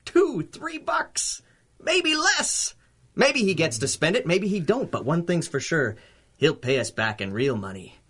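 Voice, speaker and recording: A man's voice: fairly high pitch, big pitch swings, measured pace, fairly bright tone, slightly rough, heavily acted. An actor playing a short-tempered, swaggering gangster boss with slangy tough-guy talk in a 1930s-style radio drama. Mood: boastful.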